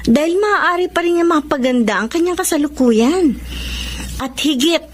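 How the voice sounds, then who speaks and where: Earnest, high-voiced younger woman. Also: lively intonation, normal speed. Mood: earnest, approving. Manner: earnest, moralizing. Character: kindly, moralizing younger sister or neighbor woman. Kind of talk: dramatic radio drama acting. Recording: faint noise, background ambience.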